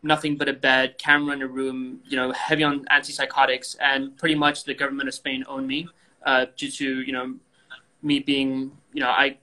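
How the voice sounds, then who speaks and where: Bright-voiced young man, frank and rambling. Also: mid-range voice, flat delivery, normal speed, low-quality recording. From Indonesia. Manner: frank, rambling, many fillers and restarts. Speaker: guest athlete. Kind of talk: casual interview over video call.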